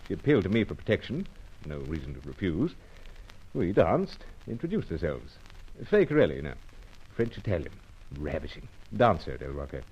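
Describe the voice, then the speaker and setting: An actor playing a suave, unflappable secret agent, clipped understatement and dry wit, in old-time radio adventure style. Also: male voice, low voice, wildly swooping pitch, a little fast, a little mellow, husky, heavily acted. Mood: brisk, matter-of-fact. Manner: clipped, telegraphic, nonchalant understatement. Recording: dull, muffled old radio recording.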